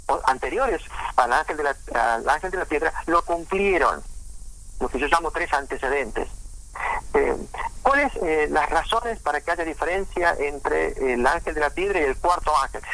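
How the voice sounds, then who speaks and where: A man preaching informally in a halting way, with frequent restarts and fillers. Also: on the high side, sharp tone, poor audio. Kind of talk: informal sermon talk on prophecy.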